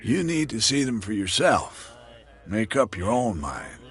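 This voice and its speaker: An actor playing a wary middle-aged island bartender in a video game, telling local lore with lively pitch. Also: male voice, a little slow, faint music behind, heavily acted. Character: gruff middle-aged male island barkeeper.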